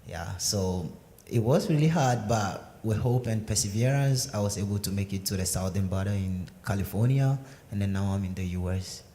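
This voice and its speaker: Soft-voiced young man, echoey sanctuary. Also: on the low side, normal speed. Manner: hesitant, many fillers. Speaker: guest speaker sharing his story. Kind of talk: personal testimony answering questions. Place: synagogue sanctuary. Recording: echoey sanctuary with faint noise.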